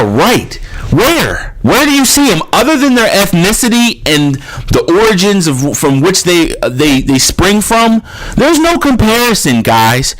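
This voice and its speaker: A man in his thirties rants through a solo commentary, his voice a touch high and leaping up and down in pitch. He sounds exasperated and throws in curses as he argues his case. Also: rough recording. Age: 30s. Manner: exasperated, ranting, swears. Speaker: draft analyst host.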